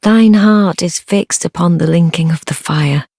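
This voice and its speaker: A woman playing a sly, devoted noblewoman in a dark fantasy game, speaking formal archaic English in a low, breathy, measured voice. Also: no echo, studio quality. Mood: solemn, urging. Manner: measured, formal archaic speech, deferential yet commanding. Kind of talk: fantasy video game acting.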